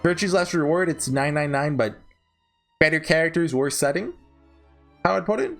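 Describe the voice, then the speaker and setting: A man hosting a casual, unscripted ranking video, talking in a rambling, halting way and often correcting himself. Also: fairly high pitch, fairly bright tone, faint music behind. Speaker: video host ranking visual novels. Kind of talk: casual unscripted tier-list commentary.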